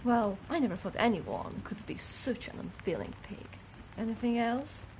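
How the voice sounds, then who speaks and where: A woman's voice: a little high, wildly swooping pitch, normal speed, noisy background. A woman playing a prim, loyal, easily affronted manor servant in a video game, with formal phrasing. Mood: curt, affronted. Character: indignant, loyal female servant in a country manor. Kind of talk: video game character acting.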